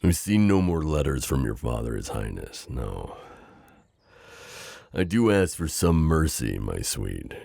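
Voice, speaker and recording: Very deep, gravelly knight voiced by a man. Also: sing-song, measured pace, a little mellow, no echo, studio quality, heavily acted. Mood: gentle, coaxing. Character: loyal, teasing, overprotective male knight hiding a princess. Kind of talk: improvised romantic audio roleplay, addressing the listener.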